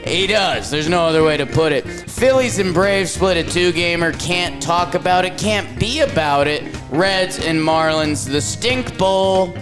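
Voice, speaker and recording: A young man co-hosting a casual sports show, joking and swinging his voice up and down as he recaps games. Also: over music. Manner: jokey, animated, quick swings between high and low voice. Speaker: podcast co-host.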